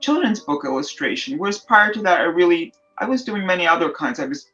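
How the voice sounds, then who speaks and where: Woman, middle-aged, reflective, halting, lots of pauses, dull lo-fi audio, faint music behind. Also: fairly low pitch, lively intonation, a bit bright. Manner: thoughtful, searching for words with many fillers and restarts. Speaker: artist and illustrator guest. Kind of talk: reflective interview answers.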